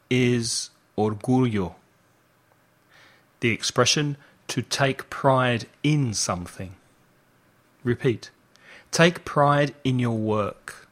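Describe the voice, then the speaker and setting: Slow-paced male English language teacher. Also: mid-range voice, fairly bright tone. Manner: didactic, deliberately enunciated. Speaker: English teacher and podcast host. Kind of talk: language lesson with slow, repeat-after-me delivery.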